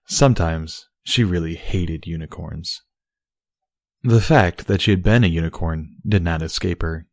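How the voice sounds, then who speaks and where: A man's voice: low-pitched, unhurried, a little mellow, dry recording, studio quality. A man reading a story aloud as scripted fiction narration. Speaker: story reader.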